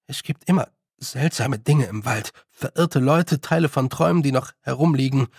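A man's voice: medium pitch, moderate pace, creaky, darkish tone, husky, no room sound, clean studio sound. A man voicing a young boy in an audiobook, lordly but naive and nervous, with a hesitant, stammering manner. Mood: haughty, wary. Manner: hesitant, stammering, slightly haughty. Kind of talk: audiobook character voice.

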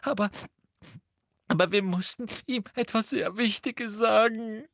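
A man voicing a tearful, desperate teenage schoolgirl with a choked, shrill, sniffling voice in an audiobook. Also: animated, fairly bright tone, no echo, clean studio sound, exaggerated voice. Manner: sobbing, halting.

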